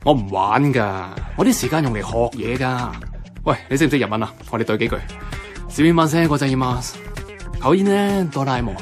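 Casting: actor as self-important bookish man, Hong Kong Cantonese, rapid delivery, radio drama with music underneath. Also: medium pitch, animated, natural voice.

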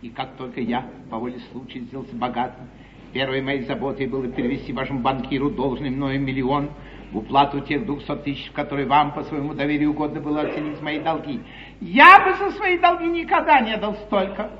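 An actor playing a suave, scheming English lord in a classical stage comedy, courtly, ironic and declamatory. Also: male voice, mid-range voice, a little fast, lightly acted. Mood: courtly irony. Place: theatre stage before an audience. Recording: dull, noisy 1953 theatre stage recording.

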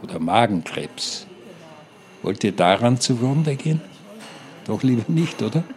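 A man's voice: medium pitch, big pitch swings, unhurried, darkish tone. A man playing a darkly cheerful care-home inmate in a radio play, coaxing his companions with rhetorical questions. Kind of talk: radio play monologue and dialogue.

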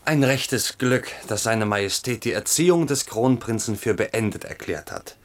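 An actor playing a cautious, servile court tutor with a dry, measured manner in a radio play. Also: male voice, on the low side, lively intonation, natural voice.

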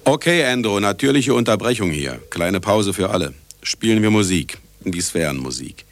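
An actor playing an irascible older scientist who also narrates, theatrical and commanding, in a German radio play. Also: male voice, on the low side, animated, moderate pace, slight background noise. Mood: wry, commanding.

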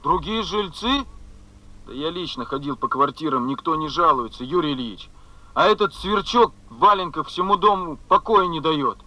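Fed-up soviet official in a radio play, high piercing bright voice, grumbly and sarcastic. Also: male voice, lively intonation, normal speed, poor audio. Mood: indignant, weary. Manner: indignant, sighing, sarcastic grumbling. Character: exasperated, put-upon male housing official, grumbling subordinate.

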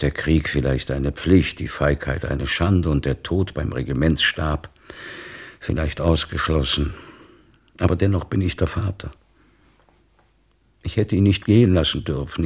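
A sorrowful, pious old man speaks in a very deep register. His voice is gravelly and husky, and he broods plaintively over his words like a worried father. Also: full character voice. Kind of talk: literary radio drama acting.

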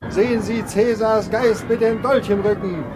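A man's voice: high-pitched, fairly even intonation, normal speed, background noise, natural voice. A man playing a showy ghost train barker in a 1960s German radio play, declaiming theatrical sales patter. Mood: grandly enticing. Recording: 1960s radio play, fairground music and crowd.

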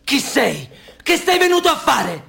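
A man's voice: very high-pitched, very animated, a little slow, creaky, pushed and bright, full character voice. An actor playing a wary, suspicious young man in a radio drama who gives orders in short, curt lines. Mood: alarmed. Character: edgy, suspicious young man guarding a woman.

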